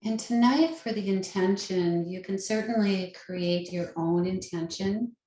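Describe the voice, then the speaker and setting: Woman doing a guided yoga meditation, really slow and soothing, kinda echoey room. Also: mid-range voice. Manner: soothing, unhurried, gentle instruction with long pauses. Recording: roomy, echoing sound.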